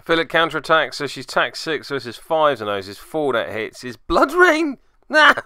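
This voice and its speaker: A man's voice: a little high, very animated, moderate pace, bright, forceful tone. A man hosting and narrating a tabletop game move by move, with theatrical flourishes and wry asides. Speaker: podcast host and player.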